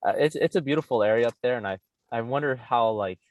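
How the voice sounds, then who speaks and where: Laid-back halting man, podcast host. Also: medium pitch, no echo. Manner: laid-back, halting, repeats words, says "man" and "you know". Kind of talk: casual chat.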